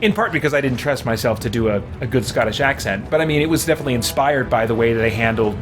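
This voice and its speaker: Casting: male streamer, medium pitch, informal halting gameplay chat, thinking aloud, game music underneath. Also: lively intonation. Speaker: streamer playing a video game. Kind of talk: casual live gameplay commentary.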